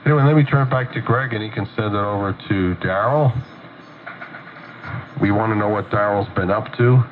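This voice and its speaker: A man's voice: lowish voice, fairly quick. A man with a congested, muffled voice, recovering from a cold, chatting casually as a ham radio operator. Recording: tinny radio receiver with static.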